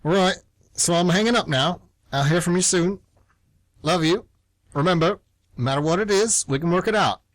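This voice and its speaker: A man's voice: sits high, normal speed, pauses often, no room sound, natural voice, poor audio. A lovesick young man in denial, acted by a man in a radio play. He speaks tersely and earnestly, stubborn and defensive, recorded close with very little room around him. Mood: pleading, awkward. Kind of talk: stage-play dialogue read as radio theatre.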